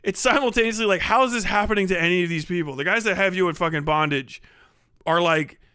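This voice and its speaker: A man doing a casual, profane comedic rant on a livestream, animated and slipping into mocking impressions. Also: sits high, fast talker, smooth, no echo, studio quality. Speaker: streamer.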